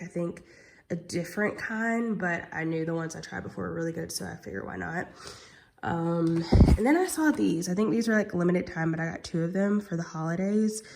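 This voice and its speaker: A young woman vlogging casually, chatty with lots of "um" and "like", sounding congested and nasal from a cold. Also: lowish voice. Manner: chatty, many fillers like "um" and "like". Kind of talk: casual vlog chat.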